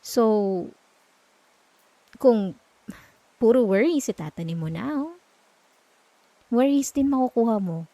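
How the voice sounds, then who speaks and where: Slow, gentle Filipino girlfriend voiced by a woman. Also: a little high, animated, no room sound, poor audio. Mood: tender coaxing. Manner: soft, tender, coaxing, with murmured hums. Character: gentle, reassuring young girlfriend comforting her partner at night. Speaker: ASMR roleplay performer. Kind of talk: intimate ASMR roleplay, Taglish. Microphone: close microphone, dry.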